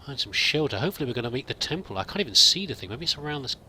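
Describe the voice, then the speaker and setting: A man's voice: medium pitch, big pitch swings, very fast, bright, forceful tone, slight background noise. A man roleplaying a pilgrim in a game, musing and thinking aloud in a hesitant, wandering way. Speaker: let's play roleplayer. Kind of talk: in-character game commentary.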